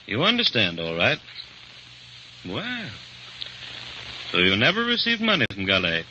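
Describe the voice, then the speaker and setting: A man's voice: medium pitch, huge pitch swings, a little slow, bright, forceful tone, exaggerated voice. A man acting a suave, relentless French police interrogator in an old radio drama, questioning coolly and sardonically. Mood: sardonic. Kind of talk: 1930s-40s radio acting. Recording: muffled, hissy old radio recording.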